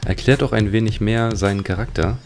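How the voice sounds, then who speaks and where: Low, gravelly male let's player. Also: fairly even intonation, brisk, darkish tone. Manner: musing, reflective. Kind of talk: casual game commentary. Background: game music.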